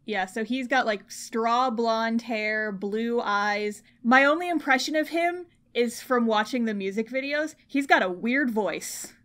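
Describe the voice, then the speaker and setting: A woman with a fairly high voice talks casually as a podcast co-host. Her delivery is playful and exclamatory, in the loose style of friends chatting. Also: measured pace, few pauses, a bit bright. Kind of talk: casual chat about idol anime.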